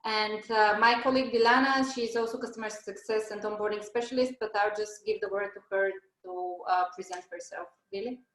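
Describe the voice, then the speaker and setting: A woman's voice: mid-range voice, steady tone, fairly quick, hardly any pauses, a bit bright, reverberant, poor audio. A woman from Bulgaria in her thirties hosting a business webinar over a video call, hesitant with many fillers and restarts. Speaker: webinar host. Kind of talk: presenting a webinar.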